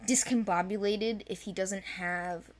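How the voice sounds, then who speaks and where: A woman's voice: mid-range voice, steady tone, unhurried. Casual young woman, chatty. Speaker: animated series creator presenting characters. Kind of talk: casual unscripted character overview.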